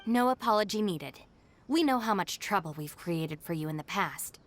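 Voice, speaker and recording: A woman's voice: medium pitch, animated, moderate pace, natural voice. A woman voicing a capable, exasperated young woman in a video game, blunt and no-nonsense. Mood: composed.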